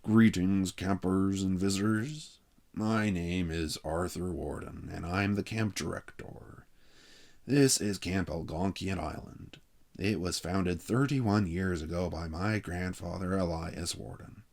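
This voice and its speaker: A stuffy camp director acted by a man for an audiobook, low in pitch and slow. He speechifies stiffly and formally, in a dull, ponderous way. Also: a little mellow. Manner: slow, stiff, boring oratory.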